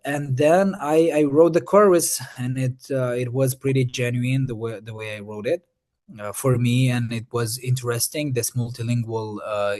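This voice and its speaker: A man's voice: medium pitch, darkish tone. A young man from Moldova, answering interview questions in English with many fillers and restarts. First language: Romanian. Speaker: Eurovision artist.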